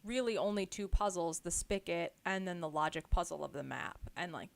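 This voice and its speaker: A woman's voice: fairly low pitch, brisk, creaky. Emphatic American woman in casual chat. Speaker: book club podcast co-host.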